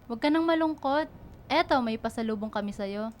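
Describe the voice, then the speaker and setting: A woman's voice: on the high side, animated, fairly quick, lightly acted. A woman playing a caring, protective young big sister in radio drama dialogue.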